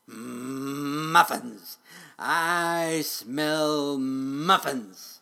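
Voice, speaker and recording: A man voicing a greedy, bossy storybook dragon with a rumbling, slow and savoring delivery. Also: vocal fry, pushed and bright, exaggerated voice. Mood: greedy delight. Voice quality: rumbling, mumbling growl.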